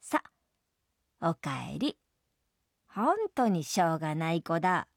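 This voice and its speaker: A storybook mother jellyfish, played by a woman reader, stern and brusque. She chides in blunt, folksy words with a husky edge, caring beneath the scolding. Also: deep voice, very animated, slow pace, no echo, clean studio sound, exaggerated voice.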